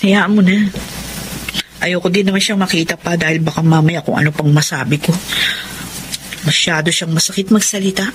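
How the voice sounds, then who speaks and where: A woman's voice: on the low side, steady tone, a little fast, pauses often, background noise, close to an everyday voice. A woman playing a modest, hurt young tutor in a radio drama, speaking politely and earnestly. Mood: resigned. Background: music.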